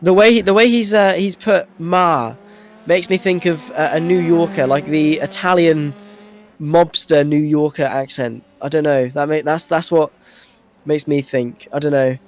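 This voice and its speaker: Man, highish voice, race co-commentator, chatty, self-deprecating, rambling banter. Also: fairly even intonation, measured pace. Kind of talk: live sim-racing commentary with casual banter.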